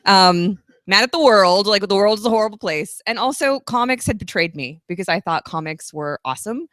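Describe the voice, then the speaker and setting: A woman's voice: medium pitch, animated, a little fast, a bit bright, no echo. A woman in her thirties, a guest author at a live event, giving long, chatty, earnest answers. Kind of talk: casual interview at a live event.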